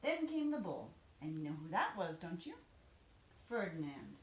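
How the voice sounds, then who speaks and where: A woman reading a children's picture book aloud, animated and deliberate, with dramatic emphasis. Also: on the low side. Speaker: storybook reader, teacher. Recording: roomy echo, faint background noise.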